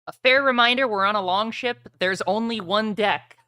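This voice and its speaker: A woman's voice: medium pitch, moderate pace, bright, forceful tone, dry recording, studio quality. A woman acting as game master for a streamed tabletop roleplaying game, talking casually with gamer slang.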